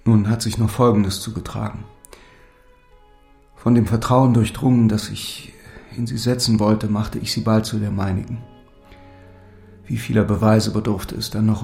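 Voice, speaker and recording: Creaky-voiced lovesick lord. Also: male voice, lowish voice, flat delivery, moderate pace, a little mellow. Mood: melancholy confession. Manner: earnest, solemn, literary phrasing. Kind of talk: German audio drama acting. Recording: music under the voice.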